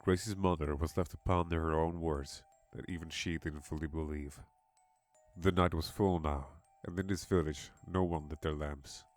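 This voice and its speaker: Super deep guy reading a creepypasta, measured and dramatic, music playing behind him. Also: fairly even intonation, darkish tone. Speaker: narrator. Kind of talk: scripted horror story narration. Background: background music.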